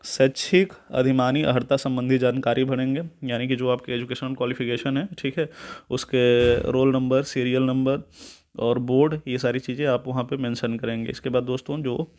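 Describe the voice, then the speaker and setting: A man's voice: mid-range voice, level tone, moderate pace, a little mellow. A man presents an informal step-by-step tutorial, instructive, reading out points, explaining them and often checking in with a short tag question.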